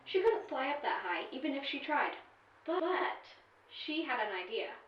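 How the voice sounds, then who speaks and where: A woman's voice: sits high, very animated, moderate pace. A woman voicing a snippy, teasing artificial intelligence companion in an audio drama, with sighs and dramatic emphasis. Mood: storytelling. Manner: sassy, sighing, dramatic emphasis. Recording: echoey, distant, dull-sounding voice.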